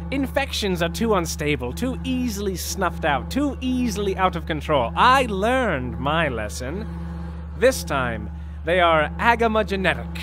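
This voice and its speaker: An actor playing a gleefully mad, pompous scientist in an audio drama, theatrical and sardonic in delivery. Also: male voice, high voice, big pitch swings, unhurried, noisy background. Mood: smug, lecturing.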